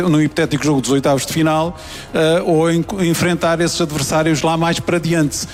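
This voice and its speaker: Formal reporter, slightly high voice. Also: male voice, a little mellow. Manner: formal, hesitant. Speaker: journalist. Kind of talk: press conference question.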